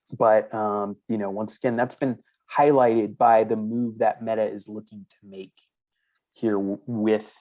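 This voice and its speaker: A man's voice: lowish voice, a little mellow. A man hosting a crypto news show, reading stories aloud and adding chatty asides in a casual, conversational way.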